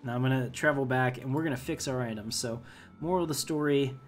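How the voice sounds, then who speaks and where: A man hosts a video game playthrough in an ordinary mid-range voice. He talks casually and thinks aloud to his viewers. Game music plays clearly behind his commentary. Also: mid-range voice, quick pace. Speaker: gamer hosting a playthrough.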